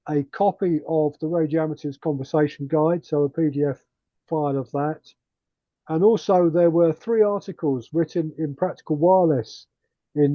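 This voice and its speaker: A man hosting an online radio club meeting, speaking haltingly with many fillers. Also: fairly high pitch, dry recording, highs cut off. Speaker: club host.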